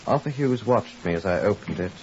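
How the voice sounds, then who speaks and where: An actor playing a stern, impatient police superintendent with a curt, commanding manner in a 1950s radio drama, also narrating. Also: male voice, on the low side, lively intonation, a little fast, lightly acted. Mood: measured narration. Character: stern, impatient male Scotland Yard superintendent. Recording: muffled, hissy 1950s radio recording.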